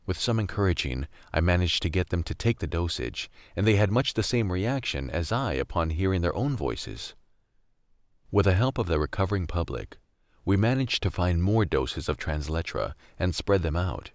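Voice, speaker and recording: A man's voice: deep voice, animated, moderate pace, no echo, clean studio sound. A man acting as a weary, wry young survivor, narrating a radio drama in the first person. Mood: reflective. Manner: wry, brooding, world-weary. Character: weary, gritty young male survivor narrating a post-collapse story.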